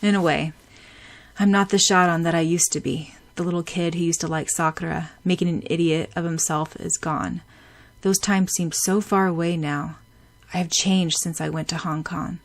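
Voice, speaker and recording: A woman reading a gruff, protective young man. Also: steady tone, normal speed, close to an everyday voice. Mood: brooding. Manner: gruff, low-key.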